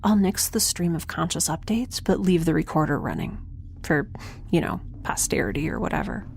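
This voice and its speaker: An actress playing a quirky, chatty young fugitive who keeps a recorded diary in a science fiction audio drama, informal and rambling. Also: female voice, deep voice, expressive, moderate pace, creaky, darkish tone, a little hiss or hum. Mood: hushed, chatty.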